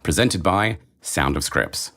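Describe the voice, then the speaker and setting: Very deep-voiced male announcer. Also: expressive, slow pace, sharp tone. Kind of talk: scripted introduction and credits. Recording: faint low rumbling noise.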